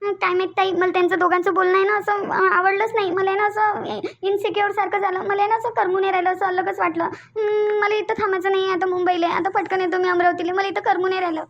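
A woman's voice: very high voice, level tone, fast talker, dull audio. A woman voicing a jealous, insecure young wife in a Marathi cartoon, speaking Vidarbha dialect and insistently repeating her demands. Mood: upset, insecure. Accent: Vidarbha (Varhadi) Marathi. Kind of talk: Marathi cartoon story dialogue.

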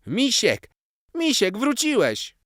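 A man voicing an excitable, affectionate seven-year-old boy in a children's story, eager and exclaiming. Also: very high voice, slow pace, no echo, studio quality, voice pushed up, full character voice. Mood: excited.

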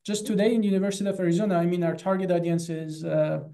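A man in his thirties with an Iranian accent, giving an earnest, halting personal account as an interview guest on a video call. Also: high-pitched, steady tone, gravelly, warm tone. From Iran. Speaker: animal rights activist and guest. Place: small classroom in front of a chalkboard. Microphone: video call, close to computer.

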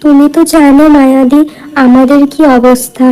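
A woman voicing a humble, patient village wife in a cartoon tale, gentle and plain-spoken. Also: sits high, little pitch movement, moderate pace, close to an everyday voice, poor audio. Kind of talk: cartoon fairy-tale dialogue. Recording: music under the voice.

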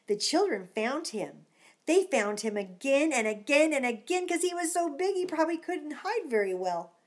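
A woman's voice: high-pitched, very animated, moderate pace. A middle-aged woman reading a storybook aloud to young children, with chatty, encouraging asides and simple questions. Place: small home room, indoors.

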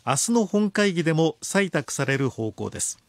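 A man reading scripted news in a formal, measured way. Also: a little high, very animated, no echo. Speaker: newsreader.

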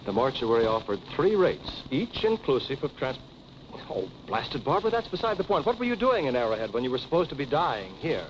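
An actor playing an earnest, flustered attorney and family friend in 1940s film style. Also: male voice, medium pitch, sing-song, brisk, background noise, natural voice. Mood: flustered, exasperated. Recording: dull old film soundtrack with music.

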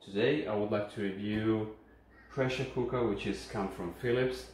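A man with a Ukrainian accent talks through a casual home-kitchen review, friendly and explanatory, often pausing on fillers. His fairly low voice bounces around a hard, very echoey kitchen. A phone camera set some distance away picks him up over a faint background hum. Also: steady tone, darkish tone. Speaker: channel host reviewing a kitchen appliance. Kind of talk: casual unscripted product review.

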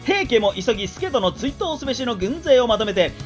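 Brash, animated samurai scout, high-voiced. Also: female voice, normal speed, soft background music. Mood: gleeful, mocking. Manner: theatrical storytelling patter in rough, old-time speech. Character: brash, talkative samurai scout telling war news with relish. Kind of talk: radio drama acting.

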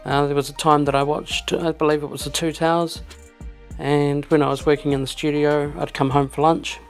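A man's voice: a little high, moderate pace, faint music behind. A man hosting a fan video, talking casually to camera with lots of fillers. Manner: relaxed, filler-heavy talk. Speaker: host.

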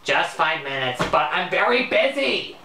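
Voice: mid-range voice, big pitch swings, measured pace, sharp tone, roomy sound, recorded from a distance, a little hiss or hum, pitched above the performer's own voice, full character voice. A narrator voicing a busy, impatient woman scientist in a homemade story reading. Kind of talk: amateur fan-fiction story reading.

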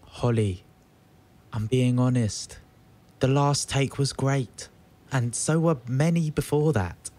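A man's voice: mid-range voice, takes it slowly, warm tone, close to an everyday voice. An actor playing a weary young man, placating and dryly sarcastic, in a naturalistic audio drama. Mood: weary, wry. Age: young adult. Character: weary, reassuring young flatmate with dry sarcasm.

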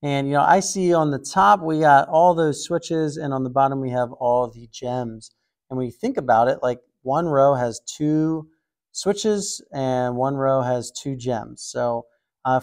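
Casting note: young adult man, mid-pitched, easygoing coding walkthrough host who thinks aloud with ums and restarts. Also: a little mellow. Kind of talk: casual screen-recorded walkthrough.

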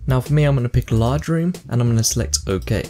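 A young man hosting a video tutorial, explaining software steps one by one into a close microphone. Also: mid-range voice, animated, quick pace, soft background music. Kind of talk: step-by-step software tutorial narration.

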